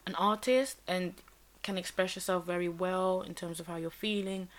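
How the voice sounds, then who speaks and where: A young British woman co-hosting a podcast, relaxed and unhurried as she explains things at length. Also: lowish voice. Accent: British English. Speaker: podcast co-host. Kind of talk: casual chat.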